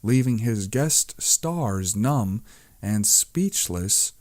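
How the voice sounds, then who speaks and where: Guy reading an audiobook real slow, very dry close sound. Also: fairly low pitch, expressive, a little mellow, no echo. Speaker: audiobook reader. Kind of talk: scripted narration of an astrology book.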